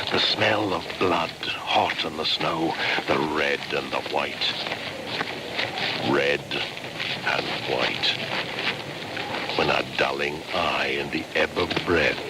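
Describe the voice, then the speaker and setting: Radio play: age-old midwinter shaman spirit, solemn menace, bottomless pitch, slow chanting delivery with whispers. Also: very noisy. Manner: slow, incantatory, breaking into hushed whispers. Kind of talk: dramatic radio play monologue.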